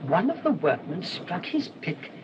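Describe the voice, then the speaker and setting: An actor playing a humble, earnest English parish priest in a radio play, mild, hesitant and formally wordy. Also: male voice, high voice, very animated, measured pace. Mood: earnest, storytelling. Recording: dull, noisy old radio recording.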